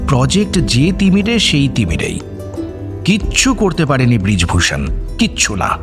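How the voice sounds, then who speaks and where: A man narrating a scripted radio story in an expressive, dramatic manner. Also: high-pitched.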